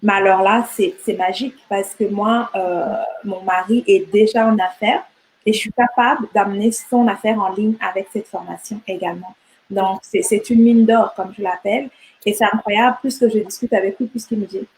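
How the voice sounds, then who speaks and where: A middle-aged woman from Rwanda talks as an interview guest in a relaxed, conversational way. Her delivery is animated, with frequent restarts and repeated words as she searches for what to say next. Her pitch sits in an ordinary middle range. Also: normal speed, poor audio. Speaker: guest entrepreneur. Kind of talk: casual interview chat.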